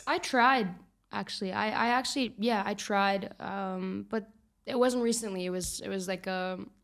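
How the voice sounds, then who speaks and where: A young woman, a singer and songwriter, talking as a podcast guest in a lively, emphatic way. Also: medium pitch, clear, resonant tone. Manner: lively, laughs easily, emphatic. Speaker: guest musician. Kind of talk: casual interview chat.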